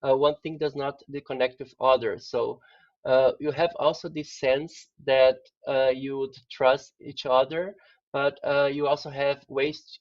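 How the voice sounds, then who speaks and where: A man's voice: medium pitch, no echo. Man, academic guest, slightly muffled dry audio. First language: Portuguese. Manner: measured, academic, many "uh" fillers. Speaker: guest expert. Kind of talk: interview answers.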